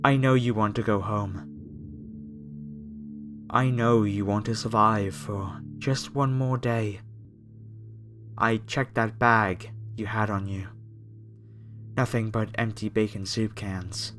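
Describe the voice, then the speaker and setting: A man's voice: on the low side, steady tone. A man playing a menacing, reverent cult fanatic in a horror ASMR roleplay, speaking to a captive in a theatrical, falsely soothing way. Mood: regretful menace. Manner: slow, theatrical, preacher-like, softly coaxing. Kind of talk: horror ASMR roleplay, scripted monologue to the listener. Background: faint music.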